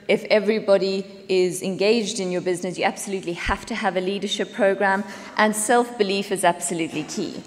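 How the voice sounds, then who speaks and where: A South African woman in her late thirties giving an upbeat, earnest talk at a business conference. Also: fairly low pitch. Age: late 30s. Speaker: conference speaker, company executive. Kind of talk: presentation to an audience. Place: conference hall. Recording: long reverb of a conference hall.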